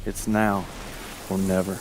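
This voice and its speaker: An actor playing a young, earnest American army private, narrating in a folksy, reflective way. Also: male voice, deep voice, little pitch movement, measured pace, rough recording. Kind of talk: scripted narration. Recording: music behind the voice.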